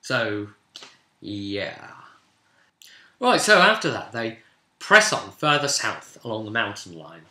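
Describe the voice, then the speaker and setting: A British man casually recounting a tabletop game as its game master, in an animated storytelling way. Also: lowish voice, slow pace, pauses often. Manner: animated, acts out players' remarks in quotes. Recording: roomy, echoing room sound.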